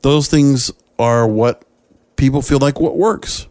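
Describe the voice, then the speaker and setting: A man's voice: medium pitch, takes it slowly, darkish tone, no room sound, clean studio sound. A man hosting a solo podcast, giving opinionated commentary in a conversational, emphatic way and addressing listeners directly. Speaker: podcast host.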